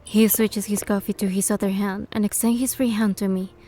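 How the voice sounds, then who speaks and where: An actress playing a curious young woman who narrates her date in a game, gentle, measured and playful. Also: mid-range voice, flat delivery, faint music behind, natural voice. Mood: tender, narrating. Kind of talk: scripted first-person game narration and dialogue.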